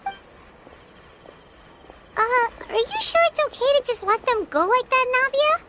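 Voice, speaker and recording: A woman voicing a small, excitable, childlike fairy companion in a video game, squeaky and whiny. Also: unhurried, full character voice. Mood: wary. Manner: refers to self in third person, whiny. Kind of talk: video game character acting. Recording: music behind the voice.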